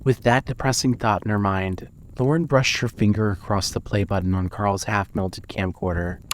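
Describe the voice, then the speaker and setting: A man narrating a scripted dramatic story in a measured, solemn manner. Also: lowish voice, a little hiss or hum, poor audio.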